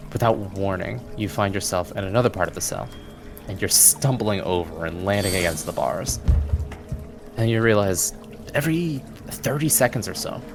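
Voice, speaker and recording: A man narrating a tabletop fantasy game as game master, in a deliberate, descriptive storytelling manner. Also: sits low, creaky.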